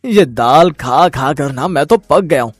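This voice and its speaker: Man acting a whiny office-worker son, exasperated, lively swooping pitch, dry close recording, story drama. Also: measured pace, no room sound. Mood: grumbling. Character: fed-up grown son and office worker, grumbling.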